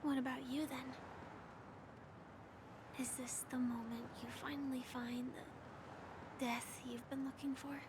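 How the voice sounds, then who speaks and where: A woman playing a gentle, wistful young woman in game story dialogue, speaking softly with a hushed, breathy delivery. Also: sits high, level tone, measured pace, long pauses, background noise. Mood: gently teasing. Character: gentle, soft-spoken young woman, quietly wistful and teasing. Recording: music behind the voice.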